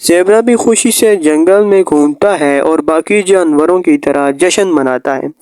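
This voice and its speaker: A male narrator reads a scripted story for children. His voice sits high for a man and is smooth and resonant. The sound is close and dry. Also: darkish tone, no echo.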